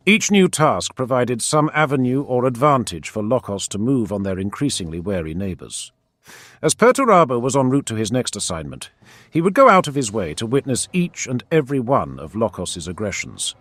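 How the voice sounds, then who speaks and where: A man narrating a scripted fantasy lore story in a dramatic, expressive reading. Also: medium pitch, moderate pace, no echo. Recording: faint background music.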